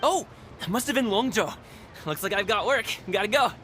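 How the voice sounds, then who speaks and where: A man's voice: high voice, expressive, fairly quick, heavy background noise. An actor playing a cheerful, generous young male guard in a video game, who laughs often and talks in an easygoing way. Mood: friendly. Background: game music.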